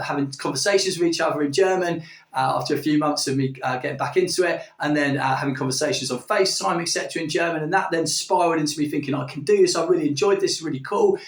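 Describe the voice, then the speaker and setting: A man, talking casually in a vlog, enthusiastic and rambling with plenty of filler words. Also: a little high. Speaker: language-learning YouTuber. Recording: echoey room, distant from the mic.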